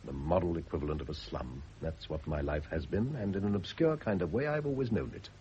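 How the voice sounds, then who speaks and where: A man's voice: deep voice, a little fast, mellow, lightly acted. An actor playing a wealthy, brooding, urbane Englishman in a radio drama, with a British accent and a theatrical, educated delivery. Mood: bitter self-reproach. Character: wealthy, self-reproaching married Englishman, brooding yet urbane. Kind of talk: mid-century radio drama acting. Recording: dull old radio recording, faint noise.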